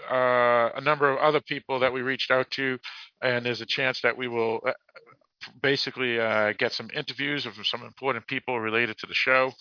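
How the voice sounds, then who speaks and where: A man with a Boston accent hosting a podcast in casual talk, halting and correcting himself as he reads out messages. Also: mid-range voice, no room sound, dull audio. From United States, Boston area. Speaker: podcast host.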